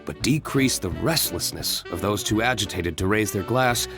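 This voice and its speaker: An actor playing a composed, courteous young winery owner in a video game, measured and businesslike. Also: male voice, fairly low pitch, animated, over music. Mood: calm, explanatory.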